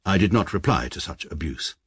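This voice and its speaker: An actor playing a precise, pompous middle-aged barrister who narrates in a formal, deadpan way. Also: male voice, low-pitched, fairly quick, husky, no room sound, studio quality. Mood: dry. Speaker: narrator and lead character. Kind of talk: radio drama narration.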